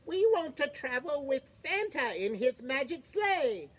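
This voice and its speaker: A woman's voice: sits high, sing-song, a little slow, voice pushed up. A woman voicing a wistful little girl doll in a storybook read-aloud.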